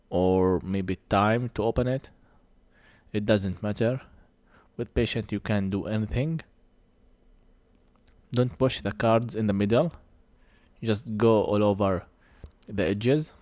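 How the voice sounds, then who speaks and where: Slow, low-voiced man, non-native English speaker. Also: darkish tone, dry recording. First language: not English. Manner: halting, short phrases with pauses. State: short of breath. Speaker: phone repair technician presenting a tutorial. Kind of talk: hands-on repair tutorial, unscripted commentary.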